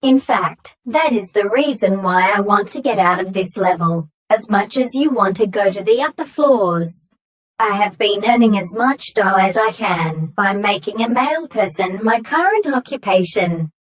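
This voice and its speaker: A woman playing a stern young female courier in a story, speaking in formal, matter-of-fact, measured statements. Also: mid-range voice, animated, natural voice. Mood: earnest, serious. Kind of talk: scripted story dialogue.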